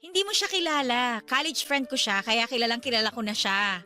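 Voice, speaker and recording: A woman playing a bubbly, persuasive young matchmaker friend in a Tagalog radio drama, animated and coaxing. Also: a little high, fairly quick. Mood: eager, teasing.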